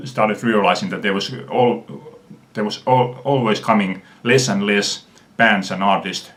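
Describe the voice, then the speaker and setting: A man from Finland with a Finnish accent, talking earnestly about his music collection in an unhurried video response, pausing often. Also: deep voice, a bit bright. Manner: earnest, unhurried, frequent "uh" pauses. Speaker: music fan vlogger.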